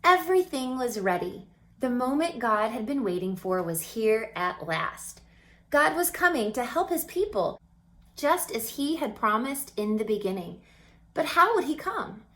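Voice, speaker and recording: A woman reading a story aloud to children in an expressive, dramatic way, with emphatic phrases and hushed moments. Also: fairly high pitch, a little slow. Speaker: storybook reader.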